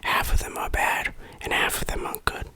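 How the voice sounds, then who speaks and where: A person whispering an informal, opinionated ASMR game review in a breathy, unvoiced voice. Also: normal speed, sharp tone.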